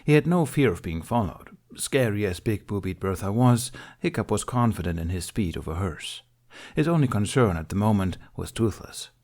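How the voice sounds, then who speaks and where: A man reading an adventure story aloud as an expressive audiobook narrator. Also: fairly low pitch, normal speed.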